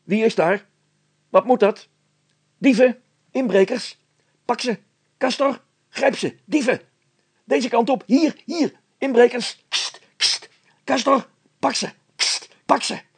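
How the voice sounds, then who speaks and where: A man voicing an angry householder in a storybook, shouting short barked commands as he chases burglars. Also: extremely high pitch, slow pace, pauses often, slightly rough, pitched above the performer's own voice, full character voice. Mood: furious, alarmed. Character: furious man chasing burglars, setting his dog on them.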